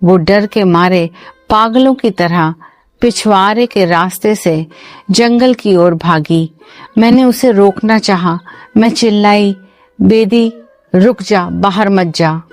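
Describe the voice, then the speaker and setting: Woman playing a very slow-speaking caring mother. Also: mid-range voice, no room sound, faint music behind, lightly acted. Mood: fearful. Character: frightened, caring mother of a grown son. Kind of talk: Hindi cartoon moral-story dialogue.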